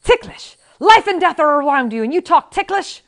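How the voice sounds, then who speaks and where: A woman's voice: high-pitched, sing-song, fairly quick, pitched above the performer's own voice. A woman voicing a proud, hot-tempered male swordsman who speaks urgently and indignantly.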